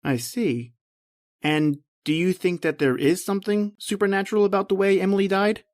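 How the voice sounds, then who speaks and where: A man's voice: high-pitched, steady tone, moderate pace, close to an everyday voice. A man playing a wary, questioning estate executor in an old-time radio horror drama. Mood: probing.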